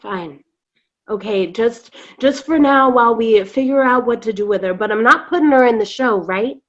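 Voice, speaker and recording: Over dull video-call sound, an actress plays a circus boss and lion tamer. Her voice sits a little high. She is blunt, sarcastic and at the end of her patience in this stage drama. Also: normal speed, close to an everyday voice. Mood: weary, resigned. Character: gruff, exasperated woman lion tamer running a circus. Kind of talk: staged reading of a play.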